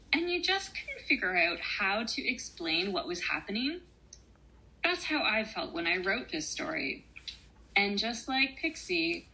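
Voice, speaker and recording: Female children's author-reader: slow and bright, deliberate phrasing with gentle pauses, addressing young listeners. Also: mid-range voice, low-quality recording. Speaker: children's author reading her own story. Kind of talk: storybook narration for children.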